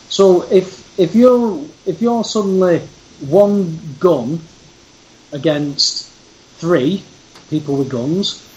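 A man's voice: on the high side, dark tone, lo-fi sound. A man speaking as a guest in a casual conversation, laying out his case in an earnest, insistent way.

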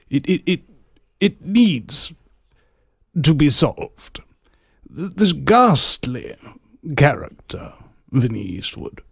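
A man's voice: fairly high pitch, creaky, slightly rough, dry recording, clean studio sound, heavily acted. Man putting on a pompous, scheming villain.

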